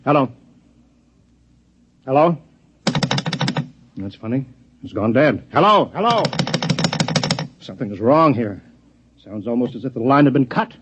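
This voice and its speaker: A man's voice: mid-range voice, animated, unhurried, long pauses, close to an everyday voice. Guy playing a bossy impatient chief, urgent, old radio drama, muffled and hissy. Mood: grave, alarmed. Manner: urgent, authoritative, clipped. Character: impatient male government chief in crisis. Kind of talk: 1950s radio drama acting. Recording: dull, noisy vintage radio recording.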